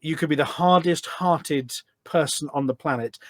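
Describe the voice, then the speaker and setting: A man's voice: a little high, normal speed, no echo. Chatty British man, dry close sound. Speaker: podcast guest, charity event organiser. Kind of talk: casual conversational storytelling.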